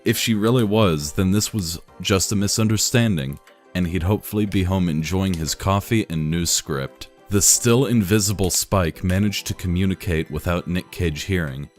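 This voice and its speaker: Deep-voiced man reading deadpan. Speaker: reader. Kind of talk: reading a fan story aloud.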